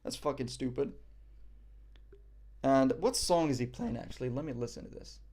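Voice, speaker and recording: A man's voice: medium pitch, moderate pace, a little mellow. A young man from Morocco, casually commenting on a boxing event on a live stream, rambling and joking with frequent swearing and fillers.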